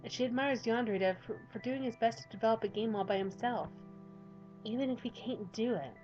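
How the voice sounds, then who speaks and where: A woman's voice: fairly high pitch, fairly quick, a little mellow, highs cut off, faint music behind. A woman reading a visual novel aloud with rambling, chatty commentary from a home desk mic. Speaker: gaming youtuber.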